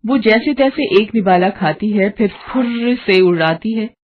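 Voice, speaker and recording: A woman's voice: mid-range voice, lively intonation, unhurried, slight background noise. A woman narrating a scripted cartoon family story. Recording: dull lo-fi audio.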